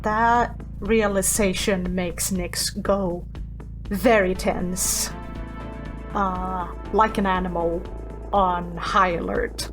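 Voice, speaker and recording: A woman talks through her character's moves as a player in a tabletop game. Her pitch is medium and her delivery very unhurried, with music clearly playing behind her. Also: fairly even intonation. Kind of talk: describing her character's actions and asking questions.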